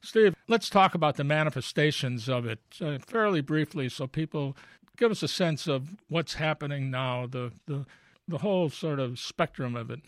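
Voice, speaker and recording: A male radio host with a slightly raised pitch, recorded dry and close in a studio. He talks conversationally, lifting his voice when stressing a point. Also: moderate pace, dry recording. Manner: conversational, rising emphatic when quoting. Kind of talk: radio interview.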